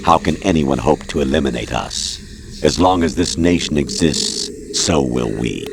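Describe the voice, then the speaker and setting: An actor voicing a formless artificial intelligence in a video game, cold, calm and superior, lecturing in a measured, imperious way. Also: male voice, very deep voice, gravelly, noisy background, exaggerated voice. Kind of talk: dramatic video game voice acting. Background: music.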